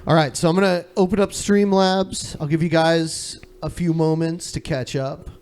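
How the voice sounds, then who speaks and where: A man with a voice a little higher than most co-hosts a casual talk podcast. He speaks informally, offers opinions freely and swears as he goes. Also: fairly even intonation, moderate pace, darkish tone, a little hiss or hum. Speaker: podcast co-host.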